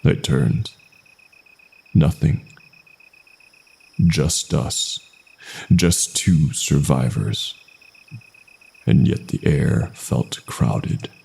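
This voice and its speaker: A man with an extremely low voice narrates a horror tale at a slow pace. His delivery is dramatic and deliberate, with pauses that let each short phrase land. The tone is gravelly with fry at the edges. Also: warm tone. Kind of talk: scripted horror narration, first person.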